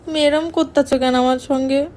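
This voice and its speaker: A woman's voice: high-pitched, steady tone, brisk, darkish tone. A young woman making a teasing prank phone call, coy, pouty and insistent. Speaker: prank caller. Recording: clear background noise.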